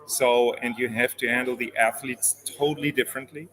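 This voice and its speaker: A man's voice: medium pitch, steady tone, a little fast, crowd noise, low-quality recording. A man from Switzerland, a performance coach, answering interview questions in careful explanations with frequent ums. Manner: explains step by step with many fillers.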